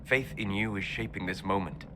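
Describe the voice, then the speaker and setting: An actor playing a calm, learned male elf mage who speaks in a measured, scholarly way in a video game cutscene. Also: low voice, noisy background, close to an everyday voice. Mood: grave, measured. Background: game score music.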